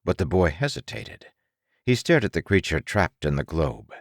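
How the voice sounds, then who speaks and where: A man narrating dramatic fiction for an audiobook in a deliberate storytelling manner. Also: low voice, sing-song, dry recording, clean studio sound.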